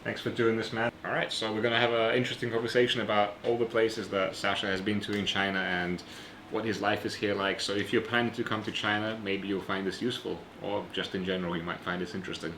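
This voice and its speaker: A man's voice: fairly low pitch, little pitch movement, quick pace, fairly bright tone, roomy sound, slight background noise. A man hosting an interview channel, asking questions in an easygoing, casual way and often murmuring agreement, in an apartment. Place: apartment room.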